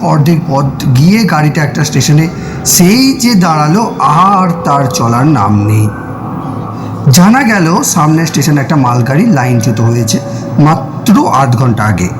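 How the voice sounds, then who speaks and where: A man narrates a scripted story in the first person, in a measured, dramatic way with deliberate pauses. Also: fairly high pitch, expressive. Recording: music playing behind the voice. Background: clear background music.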